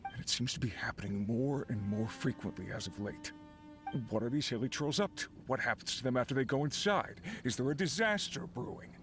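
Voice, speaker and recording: A man's voice: mid-range voice, animated, a little fast, natural voice. Man playing an earnest miner, music behind. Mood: uneasy, puzzled. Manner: conversational, earnest, explanatory. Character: male miner crew leader, easygoing, uneasy, earnest. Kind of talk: video game character dialogue. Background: game background music.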